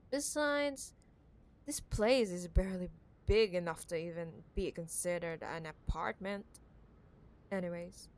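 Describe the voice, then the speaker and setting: A woman playing a possessive, condescending wealthy CEO ex-girlfriend in an intimate cyberpunk audio roleplay, teasing and scornful with drawn-out emphasis. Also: fairly low pitch, darkish tone, a little hiss or hum. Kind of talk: ASMR audio roleplay, intimate dramatic monologue.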